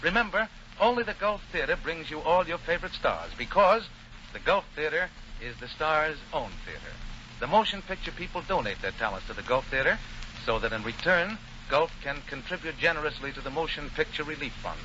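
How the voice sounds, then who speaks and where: A man's voice: on the high side, animated, brisk, pushed and bright. A man hosting a 1940s radio show in an effusive, showman-like announcing style. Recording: dull, noisy 1940 radio recording.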